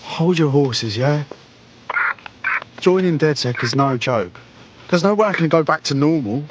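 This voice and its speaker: An actor playing a streetwise London resistance recruit with a British accent and colloquial, slangy speech in a video game. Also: male voice, a little high, expressive, a little slow, a little hiss or hum. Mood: hesitant. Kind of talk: video game cutscene acting.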